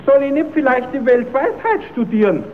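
Casting: a scheming, folksy clown, extremely high voice, children's radio play on narrow vintage sound. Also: male voice, lively intonation, moderate pace. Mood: earnest, questioning. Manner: exclamatory, self-addressing, stammers when flustered. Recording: narrow tinny old radio recording, hissy.

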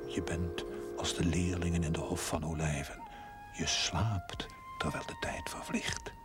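A man's voice: deep voice, little pitch movement, a little slow. A man acting a stern, reproachful inner voice in a television drama, solemn and whispery. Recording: loud music over the voice.

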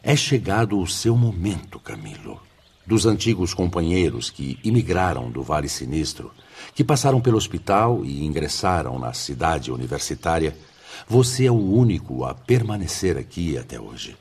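An actor playing a grave, fatherly spirit director in a Brazilian radio drama, speaking in a solemn, measured and formal way with a Brazilian accent. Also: low-pitched, sing-song, a little mellow, husky, full character voice. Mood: grave compassion. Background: music.

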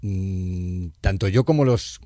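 A man's voice: low voice, slow, mellow, dry recording, studio quality. A middle-aged man with a Spain Spanish accent, hosting a radio talk and speaking candidly and reflectively. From Madrid area, Spain. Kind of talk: casual radio chat.

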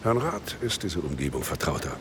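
A man playing a suave, self-assured crime boss in a radio play, with smooth, ironically courteous formality. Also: very deep voice, huge pitch swings, normal speed, noisy background, full character voice.

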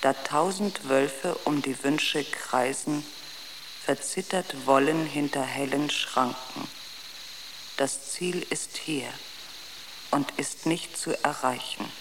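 An actor in a German radio drama playing a man reciting mystical verse in a solemn, trancelike manner. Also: a little high. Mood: solemn, entranced. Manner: solemn, deliberate. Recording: clearly noisy ambience behind the voice.

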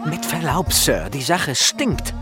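An actor playing a polite, sceptical, long-suffering manservant who objects dryly and deferentially in an audio drama. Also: male voice, fairly high pitch, sing-song, slow. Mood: wary, insistent. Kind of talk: musical audio drama acting. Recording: music under the voice.

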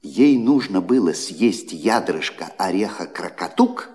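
A man's voice: low-pitched, lively intonation, a little slow, darkish tone. An actor playing a kindly elderly godfather clockmaker, telling a fairy tale in a theatrical, teasing way for an audio play. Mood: animated storytelling. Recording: long hall reverb.

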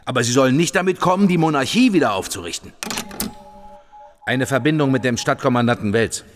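A man's voice: medium pitch, expressive, a little fast, lightly acted. A male actor as a wary, sardonic leader of a government in crisis. His mid-range voice is insistent and irritable, in radio drama style. Long reverb surrounds it, with music underneath. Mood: wary, resolute. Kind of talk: German radio drama acting.

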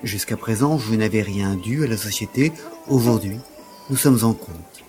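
An actor playing an earnest, self-dramatizing gentleman lover in a French audio drama, speaking in a formal, literary way. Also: on the low side, normal speed, faint music behind, natural voice. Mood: anguished, solemn.

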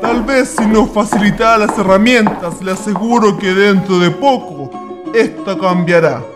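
Guy playing an earnest chilean settler, courteous, high pitched, music under him, radio drama. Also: normal speed, close to an everyday voice. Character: polite, earnest idealistic colonist man.

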